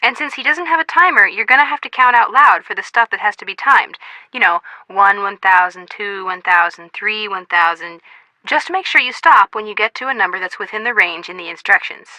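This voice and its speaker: A woman's voice: mid-range voice, fairly quick, sharp tone, no echo, low-quality recording. A woman voicing a bubbly, eager young sidekick in a video game, chatty, upbeat and encouraging. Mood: bubbly, helpful. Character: bubbly, eager young female sidekick friend, sweet-toothed.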